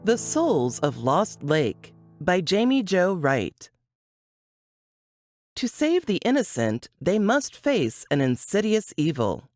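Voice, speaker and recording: Expressive woman reading a suspense blurb, studio-dry. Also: fairly low pitch, no echo. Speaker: book blurb narrator. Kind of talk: scripted dramatic narration.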